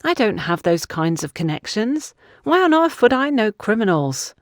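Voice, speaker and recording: A woman's voice: medium pitch, very animated, moderate pace, no room sound, studio quality, voice pushed up, close to an everyday voice. A woman voicing an insistent, self-justifying young woman in an audiobook story. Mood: defensive, insistent. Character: defensive young woman excusing herself to accusers. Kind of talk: audiobook character dialogue.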